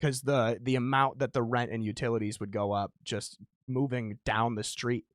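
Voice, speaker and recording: A man's voice: medium pitch, little pitch movement, moderate pace, no room sound. Man in his thirties hosts a podcast; frank and wandering, dry close sound. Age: 30s. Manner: rambling, candid, self-deprecating. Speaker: podcast host. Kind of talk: casual podcast chat.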